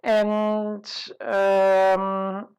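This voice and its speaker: Female webinar presenter, medium-pitched voice, informal and explanatory, deliberate with pauses and rephrasing. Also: completely flat delivery, a little mellow, clear, resonant tone, faint music behind.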